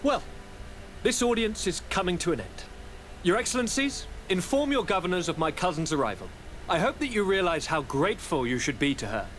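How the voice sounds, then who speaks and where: A man's voice: sits high, very animated, brisk, fairly bright tone, a little hiss or hum, rough recording. An actor playing a young noble governor in a video game, courtly and effusive in speech and affectionate toward his cousin. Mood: imperious. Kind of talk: video game dramatic acting.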